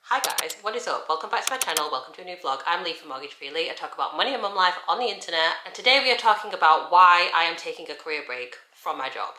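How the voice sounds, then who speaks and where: A woman's voice: quick pace, few pauses. A woman, chatting candidly in a sit-down vlog. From England. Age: 30s. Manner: chatty and candid, lively shifts in pitch. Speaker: vlogger. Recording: echoey room.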